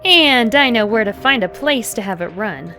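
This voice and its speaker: A woman's voice: on the high side, expressive, moderate pace, sharp tone, faint music behind. A woman voicing a confident, helpful female android agent in a comic dub, earnest and composed.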